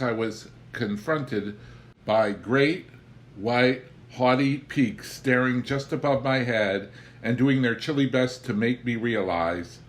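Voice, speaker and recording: A man reading a humorous memoir aloud as an expressive storyteller, with wry, theatrical, deliberate phrasing. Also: fairly low pitch. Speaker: audiobook reader.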